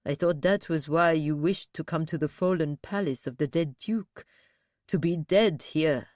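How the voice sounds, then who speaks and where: A woman narrator voicing a slow-witted, grim male captive who speaks bluntly and laboriously. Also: low-pitched, a little mellow, no room sound, clean studio sound, voice pushed down. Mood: pondering.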